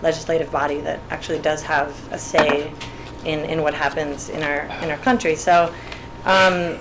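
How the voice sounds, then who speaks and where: A woman's voice: sits low, level tone, moderate pace. Hesitant Puerto Rican woman on a panel. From Puerto Rico. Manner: hesitant, many restarts and fillers. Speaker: panel speaker, artist. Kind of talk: spoken panel talk. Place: event room. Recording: faint background noise.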